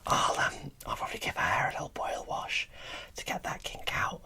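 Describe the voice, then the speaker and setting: A host speaking in a breathy whisper into a close microphone, giving casual, enthusiastic ASMR unboxing commentary. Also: moderate pace, bright, forceful tone.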